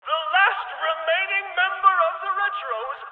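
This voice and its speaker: An actor playing an excitable, showy game show arena announcer with theatrical, emphatic delivery over a reverberant PA. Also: male voice, very high-pitched, a little slow, narrow, tinny audio, a little hiss or hum. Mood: showy, excited. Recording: very echoey arena PA, band-limited radio-like sound.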